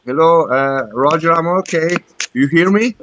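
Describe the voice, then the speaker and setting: A man's voice: on the high side, normal speed, a bit bright, a little hiss or hum. A man with a Croatian accent, a caller talking casually and hesitantly, groping for words. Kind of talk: casual, personal conversation. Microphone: internet voice call. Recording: dull lo-fi internet call.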